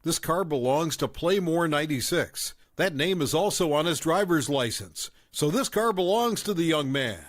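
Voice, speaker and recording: A man voicing a polite, steady male police officer. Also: a little high, normal speed, hardly any pauses, lightly acted. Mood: patient firm.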